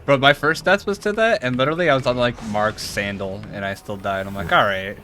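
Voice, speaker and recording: A man's voice: mid-range voice, a little fast, pushed and bright, a little hiss or hum. A man in his thirties streaming a game with casual, slangy, joking reactions and some dialogue read aloud. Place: small room at home. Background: game music.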